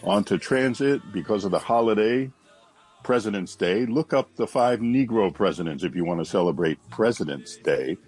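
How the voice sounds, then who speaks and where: A man hosting a morning radio show and reading announcements in a steady announcer's manner. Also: fairly low pitch, moderate pace, husky, soft background music. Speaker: radio host. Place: radio studio.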